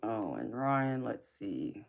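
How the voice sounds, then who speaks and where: A woman's voice: a bass voice, sing-song, takes it slowly, gravelly. A young woman with a Southern accent, hosting a casual livestream, chatting with viewers, laughing often and answering their comments. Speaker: tarot reader and livestream host.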